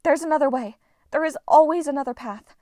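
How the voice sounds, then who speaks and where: Teen girl, storybook, determined, earnest, insistent, slightly higher voice, read by a woman. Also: lively intonation, moderate pace, dry recording, clean studio sound. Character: determined teenage girl, tired but steely.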